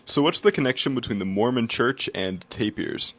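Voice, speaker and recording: A man's voice: mid-range voice, animated, normal speed, a bit bright. A man narrating a short history explainer clip in a matter-of-fact way.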